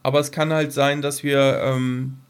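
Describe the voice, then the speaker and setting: A man's voice: medium pitch, level tone, a little slow, clean tone. A middle-aged man co-hosting a podcast in casual, unscripted conversation, explaining things and checking for agreement. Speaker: podcast co-host.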